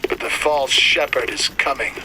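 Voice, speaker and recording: An actor playing a zealous elderly prophet and villainous ruler in a video game, preaching in solemn, grandiose, archaic oratory. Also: male voice, mid-range voice, moderate pace, reverberant, big-room sound, recorded from a distance, heavy background noise, natural voice. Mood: solemn, fervent. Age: older. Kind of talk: theatrical sermon-like oratory.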